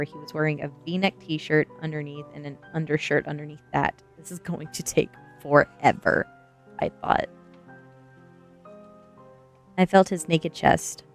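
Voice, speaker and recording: A woman reading a first-person story aloud as ASMR, with soft music behind her. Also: low-pitched. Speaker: streamer and reader.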